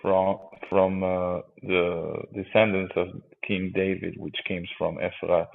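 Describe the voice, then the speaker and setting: Male debater, native Hebrew speaker, low and raspy, pushy, phone-quality audio. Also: flat delivery, slow pace. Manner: insistent, interrupts with repeated "wait". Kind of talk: heated debate. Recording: tinny phone-line audio.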